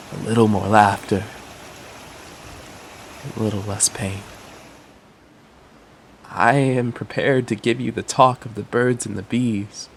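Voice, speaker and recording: A man performing a poetic monologue as an earnest, troubled would-be father, speaking in a measured, reflective way. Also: on the low side, a little hiss or hum. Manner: measured, reflective, spoken-word delivery.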